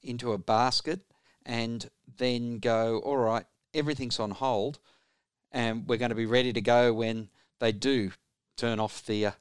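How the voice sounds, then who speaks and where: Male co-host, smooth, resonant voice, mid pitch, measured questions, dry studio sound. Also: steady tone, dry recording. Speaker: radio interviewer.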